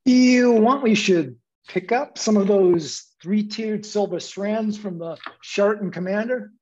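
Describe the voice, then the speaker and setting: A woman playing an uncouth, cocky male bootlegger's henchman with husky, slangy, ungrammatical speech in a period comedy. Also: mid-range voice, a little slow, a little mellow, dull audio. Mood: cocky, indignant.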